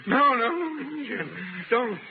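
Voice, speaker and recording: Delirious old male beggar, high voice, terrified pleading, old radio drama, tinny noisy recording. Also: lively intonation, takes it slowly, vocal fry, heavily acted. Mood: feeble, frightened. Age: older. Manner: moaning, pleading, broken phrases. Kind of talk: 1930s radio acting.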